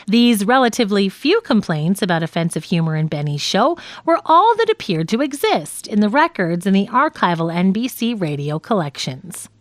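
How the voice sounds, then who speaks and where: Female audiobook narrator, lively pitch, measured nonfiction read, dry booth. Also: no echo.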